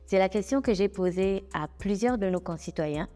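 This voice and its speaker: A young adult woman, presenting civic information in a clear, measured, explanatory way. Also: medium pitch, lively intonation, long reverb tail, music in the background. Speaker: radio host and reporter.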